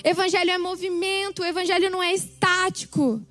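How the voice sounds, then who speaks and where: High-voiced Brazilian woman preaching. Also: little pitch movement, fairly quick, fairly bright tone, faint music behind. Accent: Brazilian Portuguese. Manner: impassioned, emphatic repetition. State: hoarse voice. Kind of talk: sermon testimony.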